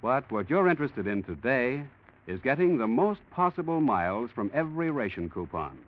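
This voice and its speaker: A man announcing in polished, persuasive, emphatic 1940s radio style, pitching a sponsor. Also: sing-song, normal speed. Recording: muffled old radio broadcast.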